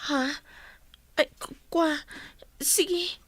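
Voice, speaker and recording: Very high-pitched Filipino little sister. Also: female voice, steady tone, extremely slow, a bit bright, a little hiss or hum, heavily acted. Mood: wary, surprised. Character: younger sister, a student, guilty and whiny. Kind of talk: Ilocano radio drama acting.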